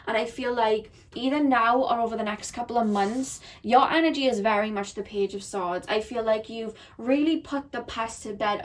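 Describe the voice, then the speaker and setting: A woman with a croaky, slightly shaky voice giving a chatty, rambling tarot reading to her viewers. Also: a little high, distant mic. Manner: chatty, rambling with many ums and likes. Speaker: tarot reader. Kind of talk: pick-a-card tarot reading. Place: seated behind a camera at home.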